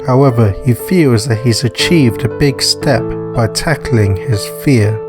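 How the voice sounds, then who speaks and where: A man's voice: medium pitch, measured pace, over music. A man reading scripted narration for a visual novel as its narrator. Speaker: narrator and author.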